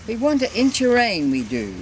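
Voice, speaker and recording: A woman's voice: on the high side, wildly swooping pitch, moderate pace, a little mellow, voice pushed up. An older woman voicing worried, drought-weary Australian country men grumbling emphatically in a poetry recitation on an amateur recording. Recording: very noisy amateur family home recording.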